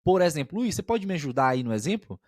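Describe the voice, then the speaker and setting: A man's voice: fairly high pitch, sing-song, fast talker, no room sound, clean studio sound. A man co-hosting a podcast as a language teacher, explaining expressions patiently and often checking that listeners follow.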